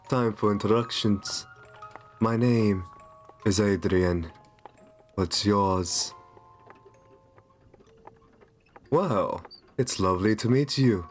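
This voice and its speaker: A man playing a courtly, soothing vampire in an ASMR roleplay, speaking softly and genteelly with a possessive kindness. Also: on the low side. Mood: kindly, reassuring. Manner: soft, soothing, genteel, unhurried.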